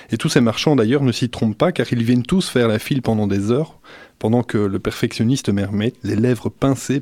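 A man's voice: medium pitch, a little mellow, no room sound. A man with a Belgian French accent reading his own humorous radio column, wry and self-mocking, full of playful wordplay. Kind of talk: humorous read-out radio chronicle.

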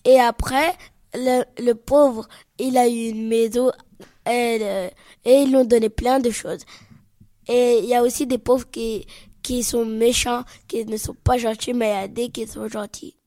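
High-voiced young speaker, dry recording. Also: female voice, fairly even intonation, measured pace, warm tone, no echo. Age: child or teen.

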